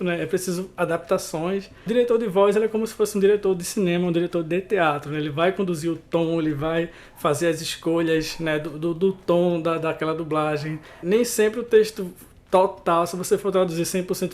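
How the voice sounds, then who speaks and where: Man w a pernambuco accent, kinda high voice, chatty explaining, keeps tacking on little questions. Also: darkish tone. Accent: Pernambuco Brazilian Portuguese. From Recife, Brazil. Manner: conversational, frequent tag questions. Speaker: voice artist interviewee. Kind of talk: explanatory interview answers.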